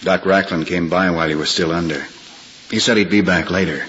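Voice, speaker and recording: An actor playing a breezy, kindly young lawyer with a casual joking manner, in a 1950s radio drama. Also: male voice, low-pitched, fairly even intonation, brisk, a bit bright. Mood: kindly, awkward. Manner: casual, wisecracking. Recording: noisy, dull 1950s radio recording, clicks.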